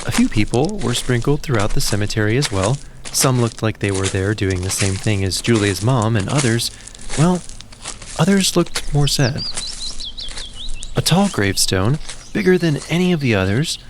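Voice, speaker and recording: A man narrating a scripted story as a podcast storyteller, in a gentle storybook way with deliberate phrasing. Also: mid-range voice, expressive, noisy background. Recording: music behind the voice. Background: music.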